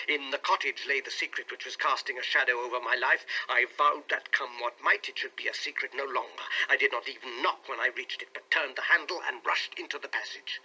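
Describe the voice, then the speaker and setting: An anguished husband, played by a male reader, tells his story with earnest intensity. His voice is very sharp and forceful, roughened by a husky rasp. The delivery is dramatic and suspicious, in classic audiobook style. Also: medium pitch, poor audio. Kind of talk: dramatic audiobook reading in character.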